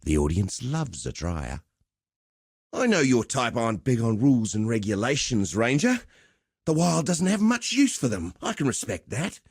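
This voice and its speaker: Swaggering, wildly animated arena promoter. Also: male voice, mid-range voice, moderate pace, slightly rough, no room sound, studio quality. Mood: charming showman. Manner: theatrical, chummy, flowery slangy patter. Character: swaggering, cheerful arena fight promoter, roguish showman. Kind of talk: video game character voice lines.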